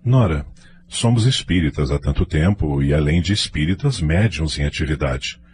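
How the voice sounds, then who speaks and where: A man's voice: a bass voice, animated, moderate pace, a little mellow, a little hiss or hum. An actor playing an earnest, devout middle-aged father in a radio soap opera. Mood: earnest, pleading.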